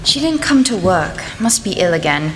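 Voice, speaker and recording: A woman's voice: on the low side, big pitch swings, moderate pace. Woman playing a sour innkeeper in echoey hall. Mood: grumbling. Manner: brusque, scolding. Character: sour, bossy, grumbling village inn housekeeper. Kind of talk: amateur radio play acting. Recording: echoey hall, some background noise.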